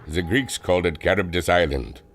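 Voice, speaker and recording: A man's voice: low voice, a little fast, slight background noise. A man playing a grave, secretive airship captain and inventor in an audio drama, cryptic and commanding. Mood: assured, secretive.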